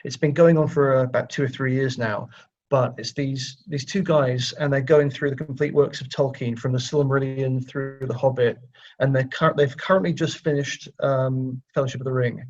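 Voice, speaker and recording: A man's voice: medium pitch, fairly even intonation, darkish tone. Man chatting in a dull lo-fi recording. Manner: halting and conversational, repeating words. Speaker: guest writer. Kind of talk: casual interview chat.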